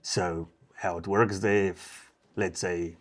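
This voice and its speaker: A man with a South African accent talking casually in an interview as a professional hunter, in plain, slightly halting English. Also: deep voice, fairly even intonation. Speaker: professional hunter, safari outfitter.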